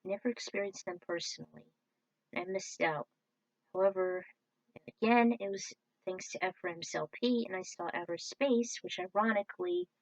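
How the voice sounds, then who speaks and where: A woman's voice: fairly low pitch, dry recording, rough recording. A woman narrating her own informal, scripted commentary about video games.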